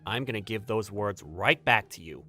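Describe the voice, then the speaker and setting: Casting: man as teenage gamer boy, animated pitch, bright tone, blunt and offhand, romcom manga dub. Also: normal speed, soft background music. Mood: dismissive. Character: Takumi, aloof teenage boy gamer, blunt but kind. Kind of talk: manga dub acting with scripted first-person narration.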